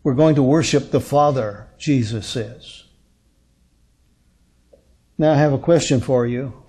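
Older guy pastor preaching bible study, slow n deliberate, soft dark tone, emphatic pauses. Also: medium pitch, slightly rough. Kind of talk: sermon, expository Bible teaching from a lectern. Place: indoor lectern on a curtained stage.

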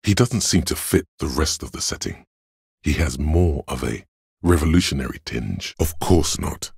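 A detective's inner logic for a game: gravelly, raspy, measured and analytical, very dry close sound. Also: male voice, lively intonation, darkish tone, dry recording, exaggerated voice. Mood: coolly deliberate. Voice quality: very deep, gravelly, husky. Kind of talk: video game narration.

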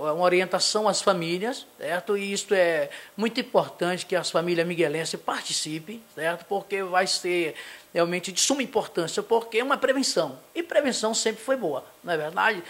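A middle-aged man, a pastor from Brazil, giving a halting local TV interview full of fillers and restarts, speaking into a handheld mic in a small studio. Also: high-pitched, fairly bright tone. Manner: hesitant, many fillers and restarts, tag questions. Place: small studio in front of a logo backdrop.

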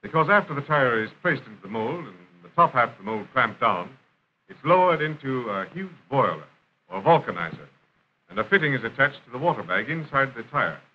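An American man reading scripted narration for a 1930s industrial film in a formal, measured announcer style. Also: mid-range voice, very animated. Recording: dull, noisy 1930s film soundtrack.

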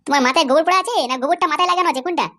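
Someone doing a squeaky, cheeky cartoon son. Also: racing, bright, forceful tone. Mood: taunting. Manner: taunting, bossy. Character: cheeky, insolent, foul-mouthed grown-up son. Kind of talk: cartoon comedy dubbing.

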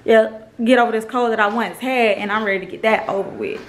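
A woman in her thirties hosting a casual vlog, a little hoarse from a cold, upbeat and playful as she talks to her kids. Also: on the high side, fairly even intonation, quick pace. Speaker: vlog host. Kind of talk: casual chat to camera.

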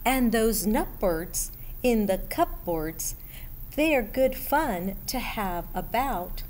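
A middle-aged woman reading a picture book aloud to children in an expressive, deliberate way, pausing as she addresses young listeners. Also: mid-range voice. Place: school library room, indoors. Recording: steady background room noise.

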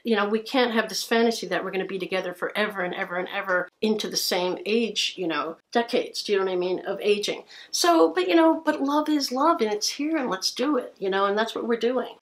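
A woman's voice: mid-range voice, expressive, fairly quick, hardly any pauses, poor audio. A woman in her sixties chatting on a sofa at home, thoughtful and rambling, restarting her sentences as she talks. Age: 60s. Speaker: co-host, partner. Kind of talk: casual chat. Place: indoors, living room sofa.

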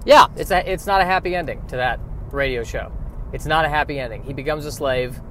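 Rambling man with a highish voice. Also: a bit bright, a little hiss or hum. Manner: halting, repeats phrases. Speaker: solo podcast host. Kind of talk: rambling comic monologue.

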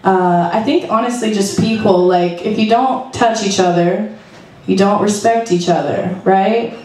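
A woman's voice: mid-range voice, unhurried, mellow, slight background noise. A young woman doing stand-up at an open mic, rambling loosely and laughing at her own jokes into a handheld stage mic. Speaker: stand-up comic. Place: small club stage with red curtain. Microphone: handheld stage mic, heard through PA. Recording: roomy club PA heard from far off.

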